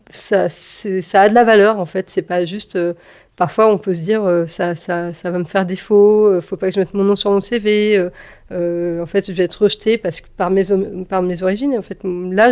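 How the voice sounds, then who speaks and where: Woman, thirties, mid-range pitch, conversational interview storytelling, halting and repetitive phrasing. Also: darkish tone. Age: 30s. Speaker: podcast guest, engineer and manager.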